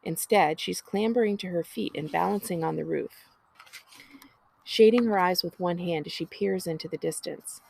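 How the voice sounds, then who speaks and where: A woman reading a children's story aloud in an expressive narrating style. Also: on the low side, normal speed, creaky, a little hiss or hum, rough recording. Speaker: librarian reading a book aloud.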